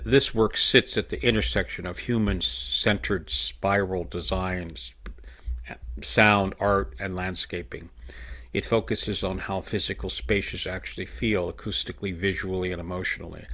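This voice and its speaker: A man with a loud voice chatting casually on a video call from his home in Japan, rambling a little and laughing often. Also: low-pitched, steady tone, unhurried. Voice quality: loud voice, by his own account. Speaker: show guest or host talking about his design work. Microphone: desk microphone on a video call.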